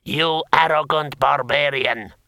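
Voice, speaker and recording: A man's voice: medium pitch, normal speed, sharp tone. A man voicing a scowling, haughty dragon lord in a fantasy audiobook, firm and argumentative.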